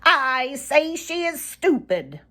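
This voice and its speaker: A woman's voice: high-pitched, big pitch swings, slow, pushed and bright, pitched above the performer's own voice, heavily acted. A cheeky, mocking male jay from a children's storybook, acted out by a woman. He taunts in a raised, provoking voice and takes his time over each jab, smug and gleeful.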